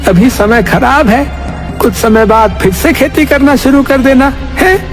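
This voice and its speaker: A man playing a greedy, scheming village shopkeeper in a cartoon, with a gravelly voice and exaggerated muttering and grunts. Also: very high voice, unhurried. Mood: sly, placating. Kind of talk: Hindi moral cartoon voice acting. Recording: music behind the voice.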